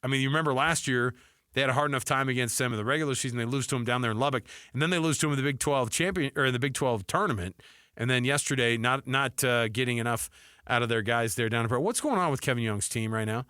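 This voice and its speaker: A man's voice: mid-range voice, quick pace, sharp tone, clear, resonant tone, no echo. An American man hosting sports talk radio in a chatty, conversational, effusive way on a close studio mic.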